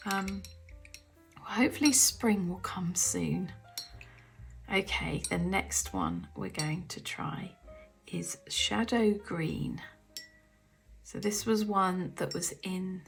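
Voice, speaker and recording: A woman from the UK with a croaky, gravelly throat talks slowly and softly, often dropping to a half-whisper as she thinks aloud. She speaks like a relaxed craft tutorial at home. A faint bed of guitar music plays underneath. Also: lowish voice. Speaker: artist demonstrating paints. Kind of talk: casual craft tutorial chat. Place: home art studio.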